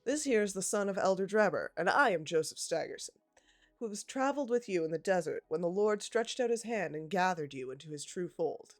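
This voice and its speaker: A woman's voice: fairly low pitch, expressive, a little fast, no echo, soft background music, lightly acted. A woman voicing a pious, boastful young male suitor who turns to threats. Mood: solemn. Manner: boastful, solemn, then threatening.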